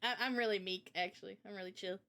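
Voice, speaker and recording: A woman's voice: mid-range voice, fairly even intonation, quick pace, crowd noise. A young woman presenting casually on a livestream, chatty, informal and enthusiastic, like a developer showing off a project.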